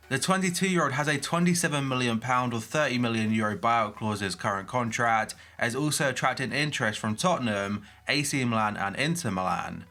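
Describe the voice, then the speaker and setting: A man's voice: mid-range voice, sharp tone. A young man with a groggy, hay-fever voice, reading a football transfer news roundup with brief personal asides.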